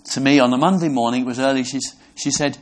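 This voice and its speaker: A man from Brixham, England, preaching a sermon in an earnest, halting way, often restarting his words. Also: mid-range voice, steady tone. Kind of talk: sermon to a congregation.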